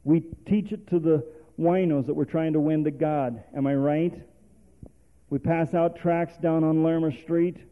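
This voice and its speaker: A man's voice: fairly high pitch, steady tone, unhurried. A male preacher, delivering a sermon full of rhetorical questions and calls for response. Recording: tinny phone-like sermon recording.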